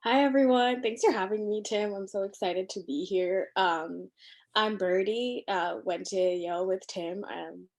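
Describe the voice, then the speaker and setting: A young woman from Ethiopia, chatting as a podcast guest over a video call from her living room, animated and full of fillers. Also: medium pitch, unhurried. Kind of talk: casual conversation.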